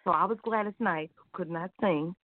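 A woman's voice: fairly low pitch, a little fast. A woman calling in as a poet, bantering casually in a wry, theatrical way with emphatic repetition. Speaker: caller and poet. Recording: narrow, dry phone line.

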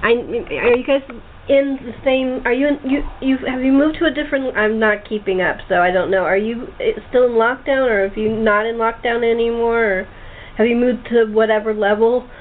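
Higher-voiced woman on a tinny line. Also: fairly even intonation, fairly quick. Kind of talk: casual chat. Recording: noisy, phone-like remote call audio.

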